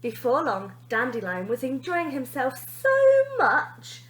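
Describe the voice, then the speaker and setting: A woman reads a children's story aloud in a very high voice. Her delivery is expressive and emphatic, with lively swings in pitch. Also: a little slow, roomy sound, a little hiss or hum, low-quality recording. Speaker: teacher reading a storybook aloud.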